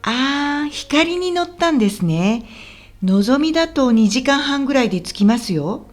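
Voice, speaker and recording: A woman acts out a friendly, helpful local guide in a radio drama for language learners. Her voice is high and very animated, with polite, clearly spoken explanations. Faint music plays underneath. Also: normal speed. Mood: welcoming. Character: friendly, helpful woman guiding a visitor around Osaka.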